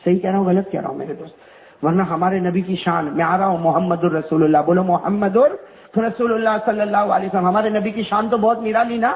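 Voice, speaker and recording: A man's voice: high voice, fairly even intonation, fast. A man preaching to a gathering, repeating his phrases and calling out questions for the crowd to answer. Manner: repetitive, rhetorical, calls out questions to the crowd. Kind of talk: religious speech to a gathering. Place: religious gathering. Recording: dull lo-fi recording.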